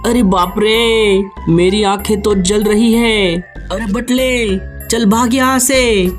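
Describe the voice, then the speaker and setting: A woman voicing a greedy, foolish vulture sidekick in a children's cartoon, excitable and full of exclamations. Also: medium pitch, slow pace, soft background music, close to an everyday voice. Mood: panicked. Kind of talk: Hindi children's cartoon dialogue.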